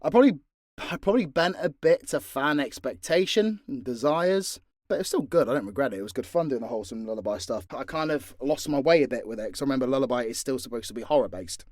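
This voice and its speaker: British guy chatting off the cuff, blunt n sweary, mid pitch, really dry close sound. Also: lively intonation, quick pace, darkish tone, no room sound. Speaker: audio drama creator answering fan questions. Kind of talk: casual unscripted Q&A chat.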